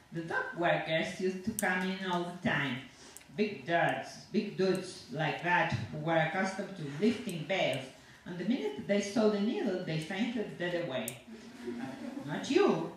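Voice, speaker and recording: A woman reading a story aloud as a chatty, self-satisfied, sinister dentist who rambles on with cheerful reassurance to a patient. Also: on the low side. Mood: chatty, anecdotal. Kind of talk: dramatic monologue read aloud to an audience. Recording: echoey room from far off, faint noise.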